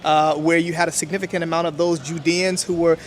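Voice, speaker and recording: A man's voice: on the high side, little pitch movement, fast talker. A man whose first language is Hebrew, explaining history emphatically in an interview outdoors. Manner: emphatic, lecturing, with many "right?" checks. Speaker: interview guest.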